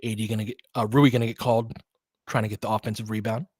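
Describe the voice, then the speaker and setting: A man's voice: fairly low pitch, little pitch movement, fast, a little mellow, breathy edge, no room sound. A man giving informal live basketball play-by-play as a fan-facing host, opinionated and conversational, in clipped phrases.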